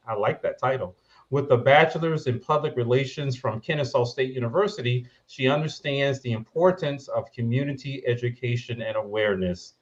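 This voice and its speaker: A man hosting a panel talk show, speaking in a measured way with frequent pauses. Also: mid-range voice, lo-fi sound.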